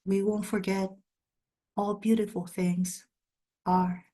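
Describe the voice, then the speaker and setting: A woman poet, a native speaker of Spanish and Korean, reading poems in measured, deliberate short phrases with brief spoken introductions. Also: medium pitch, flat delivery, mellow.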